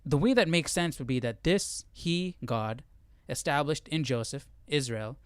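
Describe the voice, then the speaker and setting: A man's voice: fairly high pitch, animated, unhurried, smooth, dry recording. Man thinking aloud, very dry sound. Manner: informal, thinking aloud, working through text step by step. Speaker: Bible study livestream host. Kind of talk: casual study talk, reading verses and commentary aloud.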